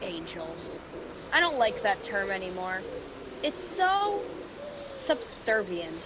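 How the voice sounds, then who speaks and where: A woman's voice: medium pitch, very animated, slow pace, noisy background. A woman playing a sly, sarcastic demon henchman with a mocking, theatrical delivery in a radio play. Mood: sarcastic mockery. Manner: mocking, mock-sweet, theatrical.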